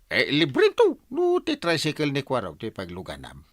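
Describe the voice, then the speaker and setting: A man's voice: medium pitch, huge pitch swings, normal speed, no room sound, studio quality. A man playing an exasperated, grumbling village captain in a radio drama. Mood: grudging. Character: exasperated male village captain, easily worn out.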